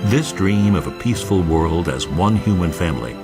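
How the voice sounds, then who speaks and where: A man's voice: low voice, expressive, normal speed, loud music behind the voice. A man reading scripted narration for a documentary.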